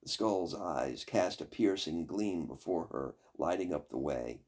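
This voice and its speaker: Older male storyteller: unhurried, very animated pitch, dramatic fairy-tale reading. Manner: dramatic, deliberate. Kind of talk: expressive scripted fairy-tale narration.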